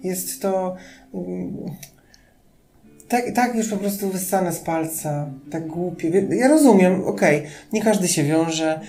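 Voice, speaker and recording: A man co-hosting a podcast in casual chat, animated and exasperated, often repeating himself. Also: sits high, slow pace. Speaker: podcast co-host.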